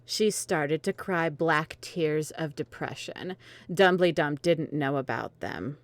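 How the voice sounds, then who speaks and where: A woman's voice: low voice, slow, no echo. A woman narrating a dramatic reading of fan fiction, with some commentary of her own.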